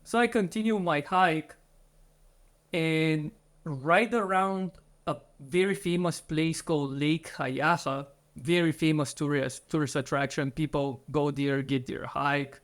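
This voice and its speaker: A man's voice: fairly high pitch, unhurried. A man from Bulgaria, in his thirties, earnestly telling his story as an interview guest, with slightly phrasing.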